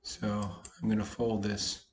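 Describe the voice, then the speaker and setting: A slightly hoarse man, a poker vlogger, casually talking through his online poker hands with a folksy, self-deprecating manner. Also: fairly low pitch, monotone, a little slow, a little mellow. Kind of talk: casual commentary over online poker play.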